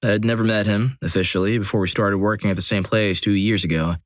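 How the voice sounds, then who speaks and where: Low-voiced man reading stories dryly. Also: quick pace, dry recording. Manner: steady reading with dry, wry asides. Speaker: narrator. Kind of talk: scripted story narration.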